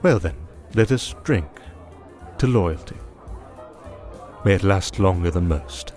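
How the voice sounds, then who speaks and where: A man playing a scheming, refined vampire archduke in an ASMR audio drama, speaking in a measured, formal, condescending way. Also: sits low, expressive. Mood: smooth, conspiratorial. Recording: music bed under the voice.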